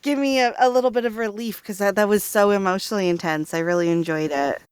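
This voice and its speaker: A woman's voice: medium pitch, quick pace, clear, resonant tone, no echo. A woman voice actor talking casually in a cast discussion. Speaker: voice actress on a cast panel. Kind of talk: casual chat.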